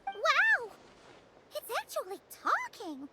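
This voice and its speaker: An actress voicing a tiny, chirpy, curious girl companion in a video game, with a high, squeaky, childlike voice. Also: huge pitch swings, slow, pushed and bright, full character voice. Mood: amazed. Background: game music.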